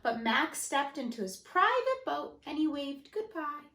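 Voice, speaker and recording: A woman teacher giving an expressive picture-book read-aloud for children, dramatic, with gasps, whispers and emphasis. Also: sits high, a little slow, roomy sound. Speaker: elementary school teacher.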